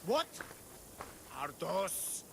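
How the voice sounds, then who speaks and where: A man's voice: high-pitched, very animated, very slow, vocal fry, background noise, exaggerated voice. An actor playing a fatherly, remorseful older man who is mildly exasperated, in a video game cutscene. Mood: sheepish, exasperated.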